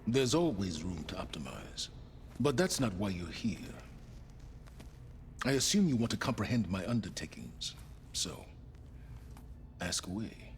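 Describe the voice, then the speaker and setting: An actor playing a cold, calculating schemer in a video game, speaking in measured, formal, condescending phrases. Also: male voice, sits low, very animated, slight background noise, exaggerated voice. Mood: smug. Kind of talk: video game dialogue acting. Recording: music behind the voice.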